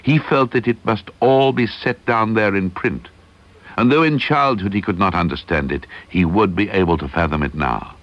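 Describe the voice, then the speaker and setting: Low-voiced guy telling a creepy story slow and hushed, muffled old cassette sound. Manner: measured, suspenseful, slowing and hushing at eerie moments. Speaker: actor reading a ghost story. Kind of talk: dramatic audiobook narration. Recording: dull lo-fi archival tape digitised from cassette.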